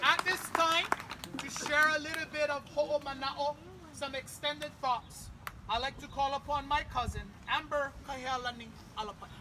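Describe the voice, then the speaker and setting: A woman's voice: high voice, fairly even intonation, moderate pace. A woman leading a family memorial outdoors at a beach, quizzing the crowd playfully and then praying. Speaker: memorial service leader. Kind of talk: family memorial address with audience games. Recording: outdoor ambience with clear traffic noise.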